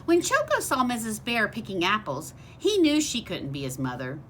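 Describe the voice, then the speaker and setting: A woman teacher reading a picture book aloud to young children in an expressive, simple, child-directed way. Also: a little high, moderate pace, slight background noise.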